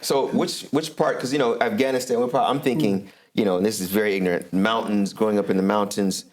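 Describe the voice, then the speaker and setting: A man's voice: fairly low pitch, fairly even intonation, fast, slight background noise. Man hosting a conversational, informal interview. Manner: informal, peppered with Arabic religious phrases. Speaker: talk show host.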